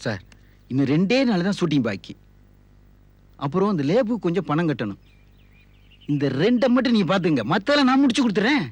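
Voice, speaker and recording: An actor playing an eager, ingratiating and persuasive film production manager with a husky voice in a TV serial. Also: male voice, high voice, big pitch swings, a little fast, pauses often, no echo, heavily acted. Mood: pleading, persuasive.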